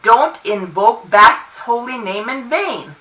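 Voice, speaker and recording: A woman's voice: lively intonation, measured pace, full character voice. A woman voicing an old, hard-of-hearing grandmother in a storybook, insistent and scolding, with a ringing voice. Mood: pitying, chiding. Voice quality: ringing, high-pitched shout. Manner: loud, insistent, curt. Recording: echoey room, distant from the mic.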